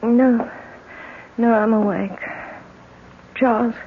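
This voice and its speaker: An actress playing a fragile, drowsy, clinging young wife in an old-time radio drama, speaking in a halting, pleading way. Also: female voice, a little high, steady tone. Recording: tinny, noisy old radio recording.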